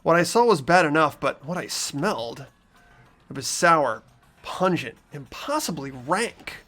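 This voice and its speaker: Animated game narrator with mid-pitched voice. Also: measured pace, faint music behind. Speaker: narrator and lead player of group playthrough. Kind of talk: game narration and casual banter.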